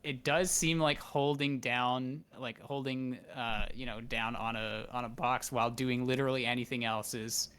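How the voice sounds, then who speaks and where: A man's voice: mid-range voice, fairly even intonation, normal speed, few pauses, fairly bright tone, smooth. A man chatting casually as a student in a coaching session, hesitant and thinking aloud as he goes. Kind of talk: casual chat. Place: remote online voice call.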